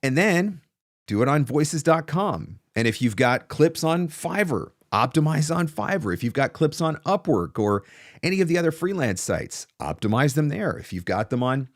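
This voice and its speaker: A man's voice: a little high, sing-song, normal speed, no room sound. A man in his forties hosting a casual livestream of advice, talking emphatically and repeating phrases for stress, close on a studio microphone. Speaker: host of a livestream on voiceover business. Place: small indoor home studio. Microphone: large studio microphone close in front.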